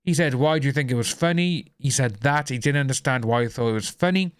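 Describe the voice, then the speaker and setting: Welsh male commentator, mid-pitched voice, calm, measured read of trial testimony with brief asides, close dry sound. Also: smooth, no echo. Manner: steady, low-key reading with apologetic asides. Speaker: YouTube commentator.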